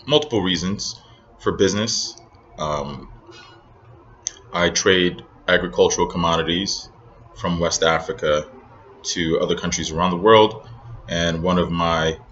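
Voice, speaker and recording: A low-pitched young man from Nigeria speaks with an American accent, sharing thoughts in a casual vlog. He pauses often and fills gaps with ums as he reflects. The recording sounds a little dull, with a faint hiss of ambient noise behind him. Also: a bit bright. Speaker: vlogger.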